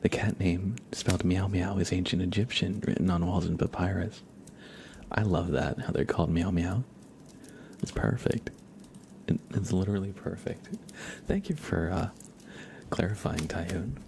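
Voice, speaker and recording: Man, low gravelly voice, soft and breathy, often whispering, unhurried ASMR livestream chat, close mic, faint hiss. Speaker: livestream host. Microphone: close ASMR microphone.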